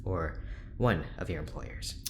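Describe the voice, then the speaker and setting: A man's voice: deep voice, measured pace. A man playing a stiff, weary, defensive neurologist in an audio drama, speaking formally with some hesitation. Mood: irritable. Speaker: head neurologist at a research station. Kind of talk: naturalistic audio drama acting.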